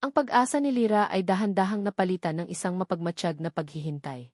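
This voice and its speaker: A woman with a Filipino accent narrating a scripted romantic audio drama in a measured, dramatic storytelling manner with deliberate pauses. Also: on the low side, a little mellow, no room sound, studio quality.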